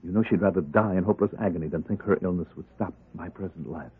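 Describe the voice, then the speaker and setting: A man's voice: low voice, fast talker, husky. An actor playing a commanding, wealthy man devoted to his dying wife, speaking with earnest intensity in an old radio drama. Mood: formal, controlled. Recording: muffled, band-limited vintage radio recording.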